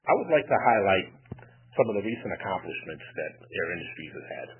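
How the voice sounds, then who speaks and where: A male company executive giving formal, measured prepared remarks on an earnings call. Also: low voice, very animated. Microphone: telephone conference call. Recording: noisy, tinny phone conference line.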